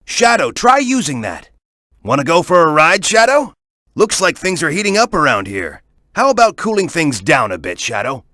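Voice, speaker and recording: A man's voice: a little high, pushed and bright, dry recording, studio quality, full character voice. A man voicing an upbeat, cocky young male sidekick in a video game, giving hints and encouragement in a casual, peppy, instructive way. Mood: upbeat, encouraging.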